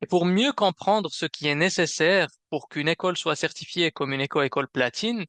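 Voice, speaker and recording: A man presents a semi-scripted webinar as its host. His voice is bright and fairly high for a man, with very clean, clear tone. He explains things in a measured, somewhat hesitant way. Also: dry recording. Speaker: webinar host and program manager.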